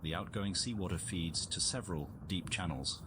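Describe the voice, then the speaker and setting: A man reading a visual novel aloud as scripted narration, in a measured, documentary-style storytelling manner. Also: low-pitched, noisy background. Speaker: video game playthrough host.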